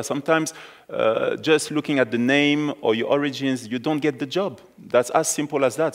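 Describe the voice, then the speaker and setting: A man with a French accent, a native French speaker, talking on a conference panel, hesitant, with many restarts and fillers. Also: mid-range voice. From France.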